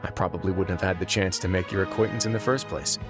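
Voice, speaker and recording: A low-voiced man acted for a video game speaks as a self-possessed duke and fortress boss. The delivery is smooth and measured, courteous with a wry, teasing edge, set over clear background music. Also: fairly even intonation, lightly acted. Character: composed adult male duke, wry prison fortress boss.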